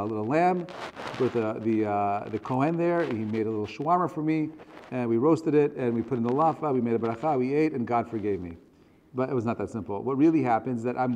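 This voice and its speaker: A man's voice: medium pitch, darkish tone, a little hiss or hum. The man you would hear at an evening synagogue class, an earnest rabbi asking the listeners question after question, his words ringing on in the big room. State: voice strained, choking up. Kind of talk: religious lecture, conversational. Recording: reverberant hall.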